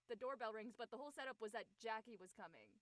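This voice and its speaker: A woman's voice: on the high side, expressive, racing. A woman chatting casually as a panelist. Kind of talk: casual chat.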